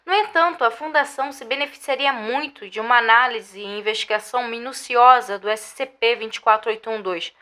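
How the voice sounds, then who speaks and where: Lady acting as a serious lead researcher reading a report, crisp bright voice, kinda high, audio drama. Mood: formal, serious. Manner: formal, measured. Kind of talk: scripted audio drama narration in Portuguese.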